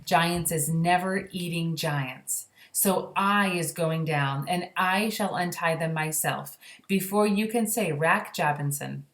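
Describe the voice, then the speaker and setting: A man's voice: a little high, takes it slowly, a bit bright. Woman voicing an earnest giant with mangled grammar, roomy echo. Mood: firm, emphatic. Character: kindly uneducated giant, male, earnest and jumbled in speech.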